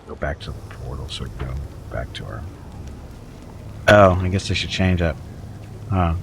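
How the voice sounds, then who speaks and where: A man's voice: rumbling low, expressive, moderate pace, gravelly, background noise. A man with a stuffy head cold chatting casually with viewers while playing through a video game. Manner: chatty, wry, talks to viewers in chat. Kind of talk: casual livestream chat and reading game text aloud.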